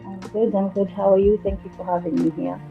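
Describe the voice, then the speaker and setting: A woman from Kenya, a teacher and mindset coach, calmly telling her life story as a guest. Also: medium pitch, brisk, narrow, tinny audio, faint music behind.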